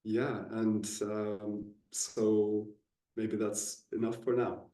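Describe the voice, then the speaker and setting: A man from Bavaria, a co-founder, answering interview questions in a relaxed way, with lots of fillers and small laughs. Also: on the low side, extremely slow. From Germany, Bavaria. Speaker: co-founder, musician and teacher. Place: inside a converted train station building. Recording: echoey room, mic at a distance.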